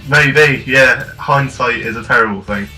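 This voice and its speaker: A man's voice: medium pitch, a little slow, music in the background, rough recording. A young British man co-hosting a casual comedy review, rambling and self-mocking with frequent restarts. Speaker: co-host of a review show.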